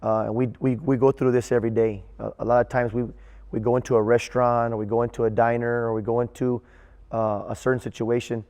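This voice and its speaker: A male theater director reflects earnestly in an interview. His delivery is halting and fairly monotone, with a mellow tone and noticeable room reverb. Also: mid-range voice. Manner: halting, repeats words while thinking.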